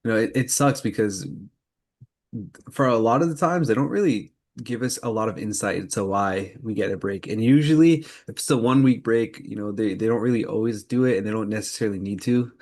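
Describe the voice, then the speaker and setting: A man's voice: on the low side, fairly quick, darkish tone. A man co-hosting a podcast, leading a casual news roundup in informal speech. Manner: informal, leads the segments. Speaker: podcast co-host. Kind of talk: casual news roundup chat about anime.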